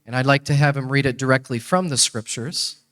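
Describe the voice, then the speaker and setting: A native English-speaking man speaking as a guest church teacher, in short halting phrases with pauses left for an interpreter. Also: mid-range voice, fairly bright tone.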